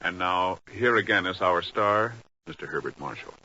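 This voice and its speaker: A man's voice: sits low, expressive, moderate pace. A man announcing from a script in a 1950s radio style. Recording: muffled 1950s radio recording. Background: loud music.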